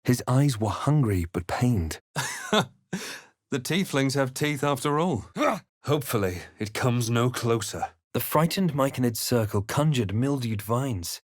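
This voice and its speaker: An actor playing a brooding male fantasy adventurer, voicing video game lines in a hushed, deliberate, theatrical way. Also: medium pitch, expressive, no room sound, clean studio sound. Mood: ominous, grave. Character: brooding male fantasy adventurer narrating his own quest.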